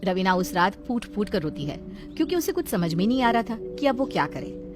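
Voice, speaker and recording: A woman's voice: mid-range voice, sing-song, a little fast. A woman telling a scripted dramatic story as the storyteller. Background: background music.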